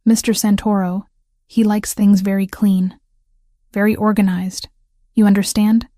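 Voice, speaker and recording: A woman's voice: medium pitch, level tone, unhurried, dark tone, no echo, studio quality, natural voice. A woman voicing an older housekeeper with an Italian accent, speaking simple broken English in an instructive way. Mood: instructing.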